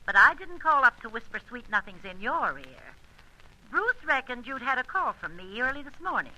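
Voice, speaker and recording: A woman's voice: on the high side, sing-song, moderate pace, sharp tone, exaggerated voice, rough recording. A woman playing a breezy, slangy, teasing American on the telephone in a spy radio serial, with a phone filter on her voice. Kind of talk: old-time spy radio serial acting.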